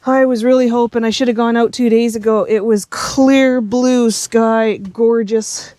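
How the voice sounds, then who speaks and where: A woman's voice: fairly high pitch, a little slow, dry recording. A woman chatting casually to herself as a solo vlogger, musing aloud in a self-deprecating way while driving. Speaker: vlogger driving a forest road. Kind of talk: casual solo vlog chat. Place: inside a moving van. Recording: steady car engine and road noise.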